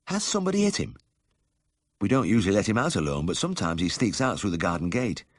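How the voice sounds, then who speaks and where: Bloke doing a homely yorkshire wife, voice pushed higher, eager and warm, really lively, storybook reading. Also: male voice, quick pace, no echo, studio quality. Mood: worried. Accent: South Yorkshire. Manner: friendly, homely, earnest. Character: eager, hospitable working-class wife, warm and concerned.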